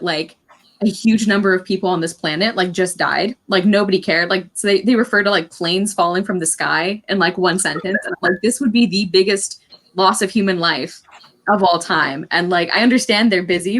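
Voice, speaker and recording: A woman with a medium-pitched voice talks as a panelist in a book discussion. Her delivery is informal and conversational, like casual chat among readers. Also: fairly bright tone.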